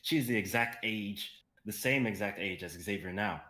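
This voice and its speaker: A young man narrates a live dramatic script reading. His voice sits fairly low and has a bright, forceful edge. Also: normal speed. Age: young adult.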